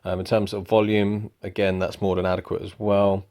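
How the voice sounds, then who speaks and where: Male vlogger, young, low flat delivery, easygoing, um-heavy. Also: moderate pace. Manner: relaxed, rambling, many ums. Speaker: motorcycle vlogger. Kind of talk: casual product review. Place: indoors at home.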